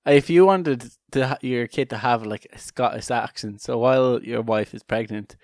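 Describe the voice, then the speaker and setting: A man's voice: mid-range voice, normal speed, darkish tone, no room sound, studio quality. A man co-hosting a casual comedic podcast, talking in a rambling, irreverent way with many restarted sentences. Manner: joking, irreverent. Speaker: podcast co-host.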